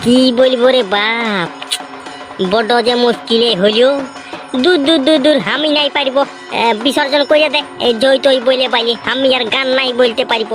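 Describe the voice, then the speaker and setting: A high-pitched young village boy acted out by a performer in a comic cartoon. He is a cheeky, easily exasperated ringleader with a Purulia Bengali accent. Music plays clearly behind the voice. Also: normal speed, lightly acted, rough recording. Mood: flustered. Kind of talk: comic regional cartoon dialogue. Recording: music under the voice.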